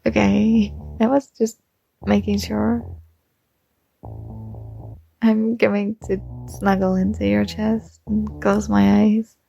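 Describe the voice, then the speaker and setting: A young woman playing a shy, smitten student in an intimate ASMR roleplay, speaking softly, hesitantly and tenderly, with some breathiness. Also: mid-range voice, little pitch movement, slow, vocal fry, long pauses, dull audio, faint music behind. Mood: tender, playful.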